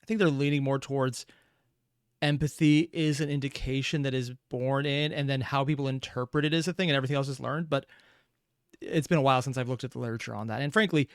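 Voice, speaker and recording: A man's voice: mid-range voice, steady tone, fast, smooth, dry recording. Man giving dry-sounding, close reaction commentary. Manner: rambling, analytical. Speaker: reaction video host.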